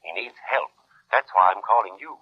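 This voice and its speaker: A man playing a fussy, effusive bohemian gentleman with an affected, flamboyant manner in 1940s radio drama style. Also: fairly low pitch, normal speed, slightly rough. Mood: chummy, arch. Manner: affected, flamboyant, gushing endearments. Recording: dull, lo-fi 1940s radio recording.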